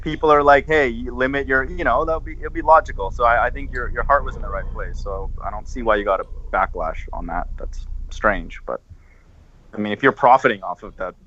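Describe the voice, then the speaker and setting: Casting: male online panellist, emphatic and opinionated, bright tense voice, dull call-quality sound. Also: medium pitch, a little fast. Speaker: podcast panellist. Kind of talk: casual online panel chat. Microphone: online group call microphone.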